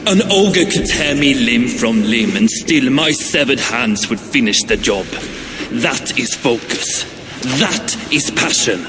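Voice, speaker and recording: A man's voice: medium pitch, lively intonation, slow. A man playing a boastful, self-assured dwarf master smith in a video game, with a blunt, pompous manner. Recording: clearly noisy ambience behind.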